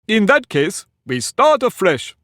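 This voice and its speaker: A man's voice: sits high, sing-song, slow pace, a bit bright, no echo, clean studio sound, voice pushed up, heavily acted. A man voicing a pompous, touchy talking egg in a storybook reading, boastful and argumentative. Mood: lordly. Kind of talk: audiobook character reading.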